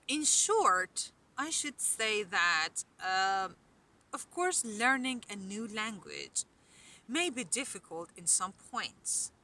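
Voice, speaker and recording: Persian-accented woman teaching slowly outdoors. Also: on the high side, pushed and bright. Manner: unhurried, with hesitant fillers. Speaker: language teacher. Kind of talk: casual spoken lesson to camera. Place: outdoors on a mountain hillside. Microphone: camera from a short distance. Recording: outdoor camera audio, a bit distant.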